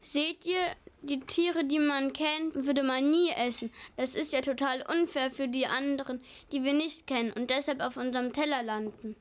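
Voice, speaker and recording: A woman's voice: sits high, fairly even intonation, moderate pace, few pauses, close to an everyday voice. A young female amateur playing a defiant, animal-loving child in an audio drama.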